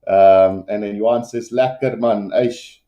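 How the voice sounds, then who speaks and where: A man's voice: on the low side, fairly even intonation, measured pace, mellow, echoey room, muffled recording. A man with a South African Afrikaans accent, a native Afrikaans speaker, giving casual, unscripted live rugby commentary and chatting with viewers in a hesitant, conversational way. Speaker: livestream sports commentator and host.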